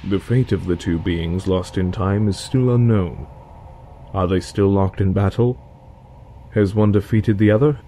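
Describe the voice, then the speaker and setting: Deep, gravelly ancient lord played by a man. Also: dark tone, a little hiss or hum. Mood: solemn. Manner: grave, measured, dramatic. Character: ancient solemn risen lord, being born of sparks. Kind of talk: slow epic prologue narration.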